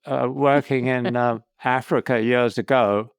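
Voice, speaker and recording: A man's voice: medium pitch, fairly even intonation, measured pace, smooth, no room sound. Musing male podcast host, dry close mic. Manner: musing, rambling questions with hesitations. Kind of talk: interview questions.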